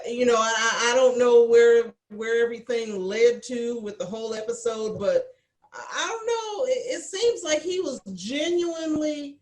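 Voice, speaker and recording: A woman's voice: fairly high pitch, a little mellow. A woman musing hesitantly in a casual group chat over a video call, in an echoing room. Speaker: cast member on a panel.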